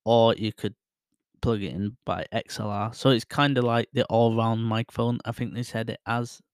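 A man's voice: medium pitch, fairly even intonation, moderate pace, clean tone, no room sound. Chatty man reviewing a microphone up close. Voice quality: voice others call soothing. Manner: rambling, self-deprecating, laughs often. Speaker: tech reviewer testing a microphone. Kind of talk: casual product review. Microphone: close studio microphone with headphones.

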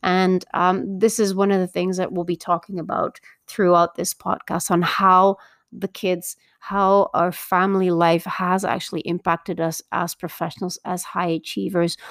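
A middle-aged woman from Belgium hosting her own podcast as a parenting coach, talking earnestly and upbeat with many filler pauses. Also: on the low side, level tone, no echo, clean studio sound. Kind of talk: solo podcast introduction.

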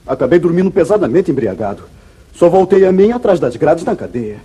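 Casting: wronged wayward son, Brazilian accent, very raspy, animated and earnest, 1950s radio melodrama. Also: male voice, fairly high pitch, brisk, heavily acted. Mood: anguished, confused. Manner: emotional, dramatic, earnest. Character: anguished, falsely accused young wayward son. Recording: muffled vintage radio drama recording.

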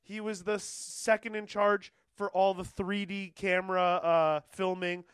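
A man's voice: sits high, flat delivery, a little slow, fairly bright tone, clear, resonant tone, no echo. A man co-hosting a podcast in a small studio, talking casually in a rambling, filler-heavy way and laughing often. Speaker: podcast co-host. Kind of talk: casual chat. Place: small self-tape studio.

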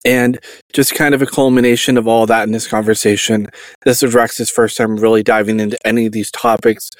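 A young man hosting a podcast, reading a scripted introduction in an earnest, measured way. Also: mid-range voice, no echo. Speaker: podcast host.

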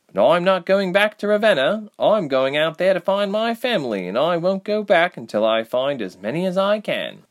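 A man's voice: high-pitched, big pitch swings, normal speed, pitched above the performer's own voice. A man voicing a bold, impulsive young woman adventurer in an audiobook, emphatic and insistent but loyal. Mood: resolute. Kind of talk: audiobook character voice.

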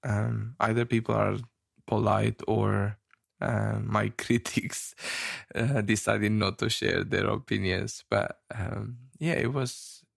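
A man from Romania co-hosting a casual chat, with a rough voice today, talking haltingly with fillers and a self-deprecating streak. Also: lowish voice, fairly even intonation, dry recording, clean studio sound. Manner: halting, many fillers and restarts, laughs often. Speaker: podcast co-host.

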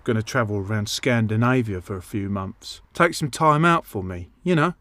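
An actor playing a dim, self-interested, stubborn bloke, obtuse and colloquial, in a dark comedy audio drama. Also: male voice, fairly low pitch, normal speed, no room sound, natural voice. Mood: matter-of-fact, stubborn. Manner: obtuse, literal-minded, colloquial.

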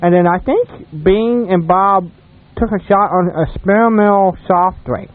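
An American man co-hosting a radio show, chatting casually and telling anecdotes in a rambling, halting way. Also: sits high. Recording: thin phone-like radio sound.

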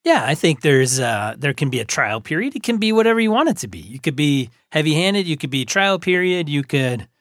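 A man's voice: fairly high pitch, sing-song, fairly quick, hardly any pauses, a bit bright, dry recording, clean studio sound. A North American man co-hosting a podcast, talking casually in a blunt, slangy, informal way. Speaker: podcast co-host. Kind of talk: casual discussion.

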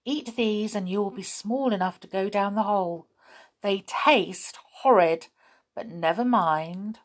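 A woman voicing a kindly, three-hundred-year-old female elf in a storybook reading, speaking gently and slowly. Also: mid-range voice, close to an everyday voice.

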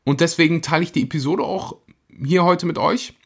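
A man hosting his podcast, introducing the episode in an energetic, casual way. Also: on the high side, breathy edge. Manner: energetic, enthusiastic, speeds up and slows for emphasis. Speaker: podcast host.

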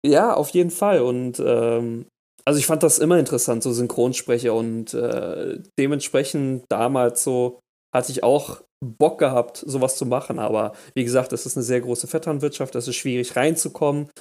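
A man's voice: medium pitch, normal speed. A man co-hosting a casual podcast chat, talking animatedly and tripping over his words when he gets going. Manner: animated, restarts and stammers when excited. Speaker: podcast co-host.

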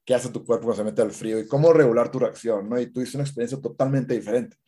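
A man's voice: mid-range voice, quick pace, dark tone. A man, an instructor explaining patiently in an interview, full of fillers and restarts. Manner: hesitant, many restarts and fillers. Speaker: certified cold-exposure instructor. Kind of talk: conversational interview answer.

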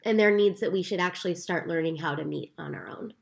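A woman co-hosting a podcast in calm, explanatory casual chat. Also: fairly low pitch, quick pace, vocal fry. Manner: calm, explanatory, recaps steps. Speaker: podcast co-host.

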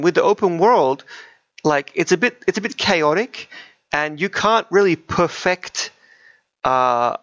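Higher-voiced man chatting in a roomy space. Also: a bit bright. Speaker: podcast co-host. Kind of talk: casual chat about video games. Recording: muffled, roomy home recording.

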